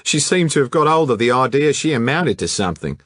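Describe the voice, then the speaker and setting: A man putting on a brash young Australian art student, pitched well above a normal man's voice and pushed loud and eager. He rattles off broad slang with a lively, bouncing delivery, read by an audiobook narrator on a dry close recording. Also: brisk, dry recording.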